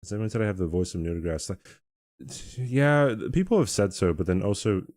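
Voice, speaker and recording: A young man with a very low voice that swings freely up and down as he chats with his stream audience. He talks in a relaxed, halting way and chuckles often. The sound is close and dry, with no room in it. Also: no echo. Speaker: game streamer. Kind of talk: casual chat with a live stream audience.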